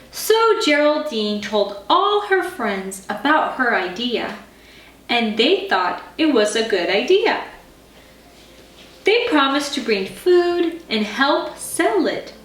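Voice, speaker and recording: A woman reading a children's bedtime story as a scripted narration. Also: sits high. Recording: roomy, distant mic, faint music.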